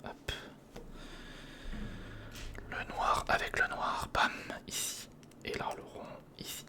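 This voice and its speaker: Hushed ASMR whisperer, casual step-by-step muttering. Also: slow pace. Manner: informal, muttering step by step to the viewer. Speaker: ASMR video creator. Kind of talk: whispered ASMR commentary while building a toy kit. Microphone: close recorder or studio microphone.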